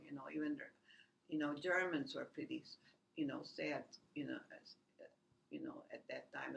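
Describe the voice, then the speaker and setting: A woman, recalling her life in a halting oral history interview, full of restarts and fillers. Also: low-pitched, creaky, muffled recording. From Latvia. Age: 80s. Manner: halting, many restarts and "you know" fillers. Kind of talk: rambling personal recollection.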